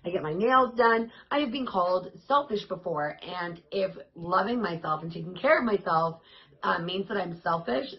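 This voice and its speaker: A woman fitness coach talking casually to her viewers, her voice raspy and hoarse, in an upbeat, chatty and encouraging way. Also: medium pitch, muffled recording. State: hoarse, voice worn out. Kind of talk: casual vlog talk to camera.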